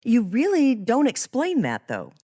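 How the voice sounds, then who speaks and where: A woman's voice: a little high, wildly swooping pitch, a little slow, dark tone, husky. A woman hosting a podcast, presenting and asking questions in a scripted interview style. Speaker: podcast host.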